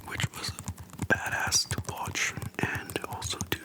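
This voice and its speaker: An ASMR host speaking in a soft, breathy whisper close to the microphone, chatting casually in a relaxed, halting way. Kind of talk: casual rambling game retrospective.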